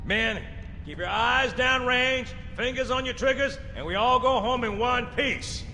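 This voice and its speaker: Gruff cocky marine sarge, really high strained gravelly bark, game cutscene, big echoey noisy space. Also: male voice, measured pace, pushed and bright, heavily acted. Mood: fired up. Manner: barking, swaggering drill-sergeant delivery. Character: gruff, cocky Marine sergeant rallying his troops. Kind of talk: dramatic video game cutscene acting. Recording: noisy, hugely reverberant hall with crowd.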